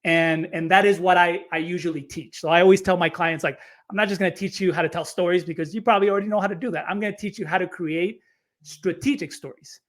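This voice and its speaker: A man from Chile with a high voice coaches on storytelling during a podcast interview. He explains eagerly, doubling up words as he gets going. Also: fast talker. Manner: energetic, explanatory, repeats words when starting phrases.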